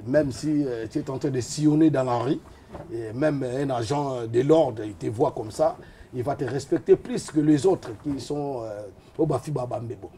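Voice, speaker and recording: A man's voice: medium pitch, normal speed, warm tone, slight background noise. A man born in Liège, talking as a guest in a casual interview, rambling and hesitant with many fillers. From Liège, Belgium.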